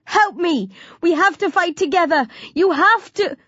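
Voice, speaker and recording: A woman's voice: extremely high pitch, unhurried, fairly bright tone, no room sound, dull audio, voice pushed up. A woman voicing a desperate, pleading teenage zombie in an audiobook, urgent and impassioned. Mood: yelling, frantic. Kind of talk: audiobook character dialogue.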